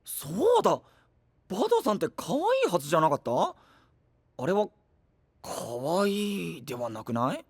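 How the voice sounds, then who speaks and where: A man's voice: a little high, sing-song, moderate pace, long pauses, breathy edge, dry recording, exaggerated voice. A man playing an earnest, kindly young man in an anime voice drama, casual, open and big-brotherly. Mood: curious. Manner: casual, open, gentle with children.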